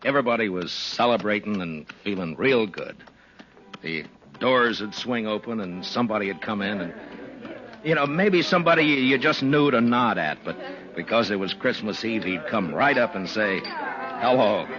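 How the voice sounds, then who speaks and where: An American man acting as a laconic, low-key frontier lawman in a 1950s radio western. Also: animated, normal speed, fairly bright tone. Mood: reflective, halting. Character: laconic frontier lawman telling stories on the trail. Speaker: lead actor and narrator. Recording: muffled vintage radio recording with music.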